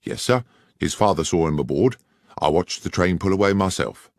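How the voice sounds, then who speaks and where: A man's voice: low-pitched, lively intonation, normal speed, no room sound, studio quality. A man reading aloud, voicing a respectful, matter-of-fact railway station master. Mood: dutiful. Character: middle-aged male railway station master, deferential.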